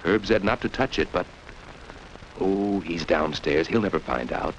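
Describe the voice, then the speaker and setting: A man's voice: low-pitched, measured pace. A man narrating a 1940s educational film for children in a didactic, storytelling way, posing questions to young listeners. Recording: dull, noisy 1940s film soundtrack.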